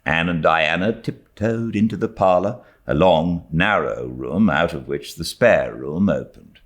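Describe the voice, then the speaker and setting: A man with a British accent reading a classic novel aloud as an expressive audiobook narrator. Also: deep voice, a little slow. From Britain. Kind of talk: expressive literary story narration.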